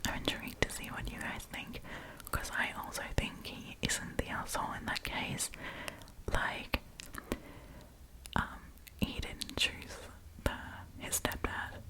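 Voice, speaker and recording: A woman's voice: measured pace, low-quality recording. Female ASMR host, whispered throughout, informal storytime reading and commentary, close-miked. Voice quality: breathy unvoiced whisper. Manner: chatty, informal asides. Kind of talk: whispered ASMR, reading online stories aloud with casual commentary. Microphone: close propped-up microphone.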